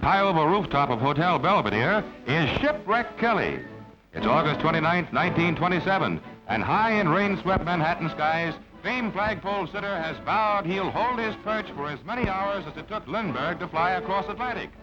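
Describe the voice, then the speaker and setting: Male newsreel narrator, fairly high, emphatic, old lo-fi soundtrack with loud music. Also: animated. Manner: brisk, emphatic announcer delivery. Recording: dull lo-fi archival sound over loud music.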